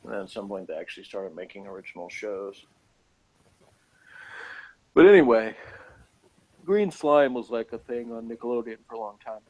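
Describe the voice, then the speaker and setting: A man's voice: on the low side, animated, normal speed, long pauses, darkish tone, low-quality recording. An American man chatting casually as a co-host of a review show, with a laid-back, dry, blunt manner. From United States. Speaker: podcast co-host reviewing a TV episode. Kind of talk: casual chat.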